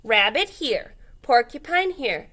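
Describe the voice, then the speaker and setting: Storybook moose wife, female, gracious hostess, welcoming and delighted, bright high voice, read by a woman. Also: very animated, slow pace, full character voice.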